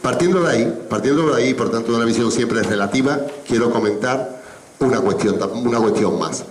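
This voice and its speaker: A man's voice: medium pitch, a little fast, reverberant, a little hiss or hum. A man speaking at a public assembly in a formal, reasoned way, laying out his points in order.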